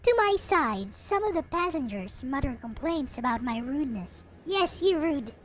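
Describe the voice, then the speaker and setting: A woman with a high-pitched voice reads a story aloud in a playful, theatrical way. Her intonation swings widely, and she slips into casual asides. Soft music plays behind her. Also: sing-song, moderate pace. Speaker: host reading a visual novel aloud. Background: background music.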